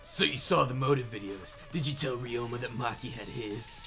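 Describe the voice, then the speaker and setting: A man's voice: mid-range voice, normal speed, creaky, a bit bright. A man in an amateur game fan dub playing an earnest young detective who reasons aloud with some hesitation. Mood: earnest, probing. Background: background music.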